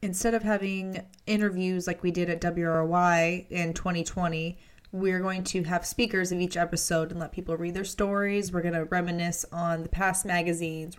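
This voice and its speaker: A woman hosting a podcast, reading out announcements from notes. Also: fairly low pitch. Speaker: podcast host.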